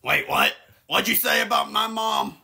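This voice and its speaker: A man's voice: high-pitched, expressive, moderate pace, pushed and bright, exaggerated voice. A man voicing a bumbling, greedy mad scientist villain in a comedic game fan dub, strained, blustering and easily confused. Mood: confused, gloating. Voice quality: gravelly, strained. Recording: game music behind.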